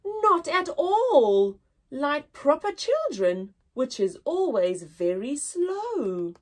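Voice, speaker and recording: A woman reading aloud to young children as a story-time teacher, expressive and sing-song with exaggerated wonder and a breathy voice. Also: sits high, extremely slow.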